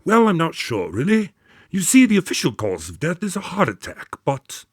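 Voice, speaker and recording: An actor playing a troubled, earnest country doctor in a radio drama, explaining things in a formal storytelling manner. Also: male voice, a little high, huge pitch swings, normal speed, breathy edge, no echo, clean studio sound, exaggerated voice. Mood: troubled, hesitant. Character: earnest, troubled male country doctor recounting strange death. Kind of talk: modern radio drama acting.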